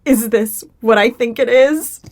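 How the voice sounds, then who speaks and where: A woman's voice: fairly high pitch, measured pace. A woman playing a naive, eager female house cat in an audio drama, earnest and starry-eyed in manner. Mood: excited, awestruck.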